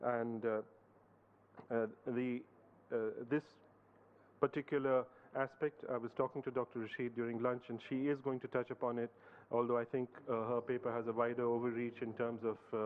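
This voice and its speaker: A man's voice: mid-range voice, level tone, normal speed. A man chairing an academic conference, speaking with many fillers and restarts before handing over to the next speaker. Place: university lecture hall. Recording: phone-like narrow sound.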